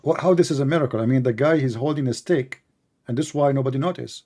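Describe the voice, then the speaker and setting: Dark-voiced man, teasing debater. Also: medium pitch, rapid-fire. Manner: teasing, sarcastic, questioning in plain broken English. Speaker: debate host. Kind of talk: live online debate, conversational.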